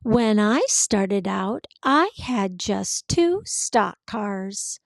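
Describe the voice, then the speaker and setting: A woman's voice: mid-range voice, lively intonation, extremely slow, vocal fry, no echo, heavily acted. A woman voicing a friendly, encouraging big train in a children's storybook, in a hearty, reassuring manner. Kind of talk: children's storybook read-aloud.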